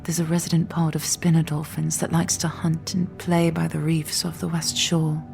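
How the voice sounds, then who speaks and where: An actress playing an immortal woman leader in a sci-fi audio drama, intimate and hushed, tender yet weary and resolute. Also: low-pitched, little pitch movement, moderate pace, vocal fry, faint music behind. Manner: intimate, hushed, often slipping into whisper. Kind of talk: full-cast sci-fi audio drama acting.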